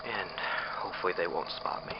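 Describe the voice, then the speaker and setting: An actor playing a frightened, bitter captive test subject recording an escape diary in an audio drama, speaking in a halting, hesitant way. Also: male voice, low-pitched. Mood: tense, resolved. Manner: halting, hesitant, breathy sighs. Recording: muffled lo-fi tape with clear noise.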